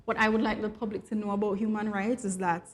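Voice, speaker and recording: A woman from Jamaica with a Jamaican accent, an attorney guest on a morning show, explaining things in a polite, clear manner. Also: mid-range voice, level tone, quick pace. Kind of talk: studio guest interview.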